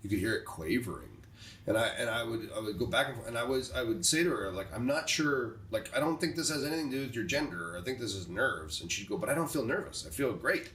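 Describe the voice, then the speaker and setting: A man's voice: mid-range voice, expressive, quick pace, a bit bright. Chatty man in a roomy echo. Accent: American. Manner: chatty, joking, thinks aloud with restarts. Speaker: podcast host, preaching coach. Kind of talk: casual podcast conversation. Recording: roomy echo, distant mic, light noise.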